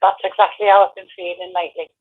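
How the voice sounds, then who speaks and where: A woman's voice: lowish voice, level tone, fast, husky. A woman calling in by telephone, answering casually with brief, agreeable replies. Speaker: phone-in caller. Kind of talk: casual phone conversation. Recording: muffled, tinny narrowband phone line.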